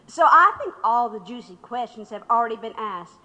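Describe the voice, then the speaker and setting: A woman's voice: on the high side, lively intonation, brisk, sharp tone, big-room sound, lo-fi sound. An actress playing a sardonic, unyielding older woman labor radical under questioning in an audio drama. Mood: wry.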